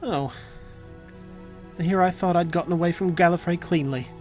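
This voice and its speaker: A man's voice: sits high, normal speed, a little mellow, voice pushed up, close to an everyday voice. A man playing an earnest, flustered young time lord on the run, hesitant and rambling, in an audio drama. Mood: rueful. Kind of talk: audio drama character dialogue. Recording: music behind the voice.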